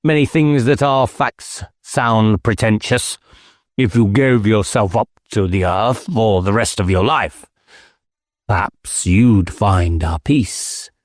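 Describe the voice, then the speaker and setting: Village squire for audiobook male reader acting: eccentric, disdainful, taunting, theatrical, slow and deliberate. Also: lowish voice, no room sound, studio quality. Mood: lofty, disdainful.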